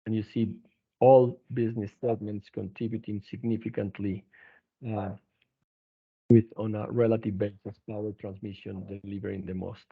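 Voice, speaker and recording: A man presenting company financial results as an executive on an earnings conference call, speaking haltingly with frequent restarts. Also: lowish voice, flat delivery, creaky, slightly rough. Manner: halting, hesitant delivery with repeated restarts. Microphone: telephone conference line. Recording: narrow, tinny phone-line conference call.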